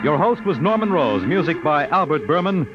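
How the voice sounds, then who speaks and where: Vintage radio announcer guy, highish voice, lively formal read, loud music behind. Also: normal speed, low-quality recording. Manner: formal, polished. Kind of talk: 1950s radio credits announcement.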